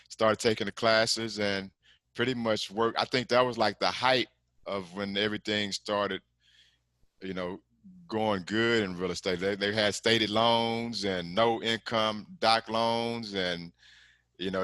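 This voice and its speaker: A man's voice: lowish voice, sharp tone, no echo. A middle-aged man from Texas, a commercial lender speaking as a guest on a video call, talking in a loose, rambling way and dropping "man" and "you know" often. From Galveston, Texas. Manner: laid-back, rambling, frequent "man" and "you know what I'm saying". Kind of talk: casual interview over video call.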